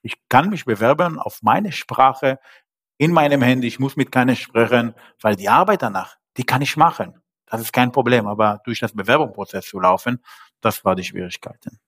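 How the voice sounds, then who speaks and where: A man's voice: medium pitch, moderate pace, a bit bright. A man whose first language is not German, a podcast guest speaking hesitantly with frequent fillers and grammar slips. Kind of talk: podcast interview.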